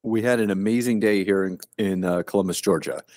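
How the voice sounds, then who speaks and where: Retired officer, fifties, from Ohio; low voice, plainspoken, unhurried, remote-call guest. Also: male voice, darkish tone, no room sound, studio quality. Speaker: guest, retired Army colonel. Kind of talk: casual interview conversation.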